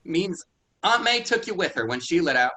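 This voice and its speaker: A man's voice: sits high, big pitch swings, moderate pace, bright, forceful tone, heavily acted. An actor reading a stubborn rural young man, curt and defensive in a staged play reading.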